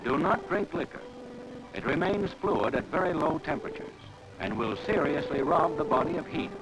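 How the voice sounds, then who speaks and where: A man's voice: a little high, moderate pace, rough recording. A man reading formal, instructive scripted narration on a 1940s training film soundtrack. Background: music.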